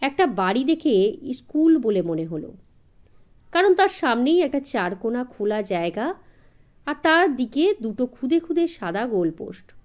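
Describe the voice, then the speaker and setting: A woman narrating a suspense story from a script in an expressive way, as an audiobook reader. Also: a little high, a little slow.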